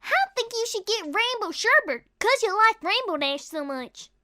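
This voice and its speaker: A woman's voice: extremely high pitch, lively intonation, normal speed, sharp tone, full character voice. A woman voicing a friendly, chatty little cartoon filly who talks in an eager, informal way.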